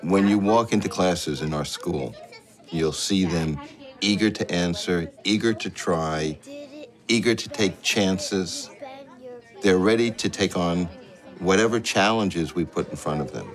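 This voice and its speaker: A man's voice: sits low, a little slow, over music. A man speaking earnestly and emphatically about his school in an interview. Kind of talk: inspirational interview.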